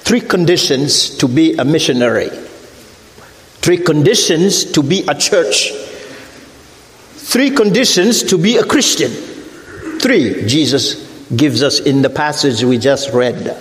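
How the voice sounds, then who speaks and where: A middle-aged man from Africa with a French accent, preaching a sermon as a guest missionary, deliberate and repeating his points. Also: lively intonation, slight background noise. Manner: deliberate, repeats phrases, rises in pitch when preaching. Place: church sanctuary. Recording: echoey church hall.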